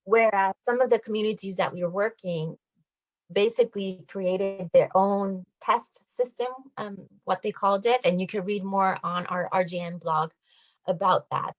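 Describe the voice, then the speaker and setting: A woman's voice: medium pitch, fairly even intonation, moderate pace, no room sound, lo-fi sound. A woman explaining a community campaign as a guest in a radio discussion, speaking over an online call.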